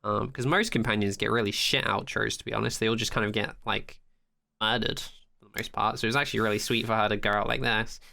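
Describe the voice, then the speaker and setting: A man's voice: fairly low pitch, fairly even intonation, quick pace, vocal fry, bright, forceful tone. A man co-hosting a podcast, recapping a TV episode in casual, sweary chat peppered with "like". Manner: sweary, frequent "like", acts out quotes. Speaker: podcast co-host.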